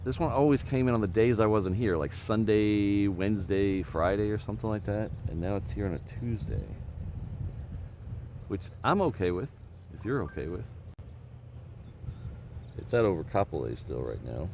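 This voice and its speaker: A man hosting a live plane spotting stream outdoors, talking casually with a slightly hoarse voice and frequent restarts. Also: lowish voice, normal speed, pauses often. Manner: relaxed, rambling with restarts. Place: outdoors near an airport. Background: aircraft and vehicle noise.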